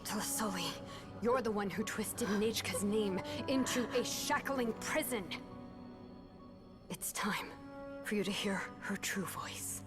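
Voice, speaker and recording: A resolute young warrior voiced by a woman in a video game, grave and commanding. Her mid-pitched voice delivers solemn lines over loud music. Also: slow, natural voice. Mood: solemn resolve.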